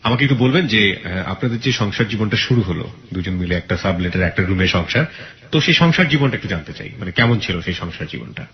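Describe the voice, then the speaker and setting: A male radio host who chats with a caller and probes her story with questions and steady murmured acknowledgments, heard through a dull radio broadcast. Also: low voice, fast. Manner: probing, frequent murmured acknowledgments. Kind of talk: radio call-in advice chat. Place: radio studio.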